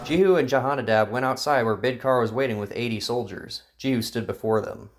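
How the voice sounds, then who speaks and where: A man's voice: medium pitch, fairly quick, a little hiss or hum. Earnest male Bible story narrator. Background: guitar music.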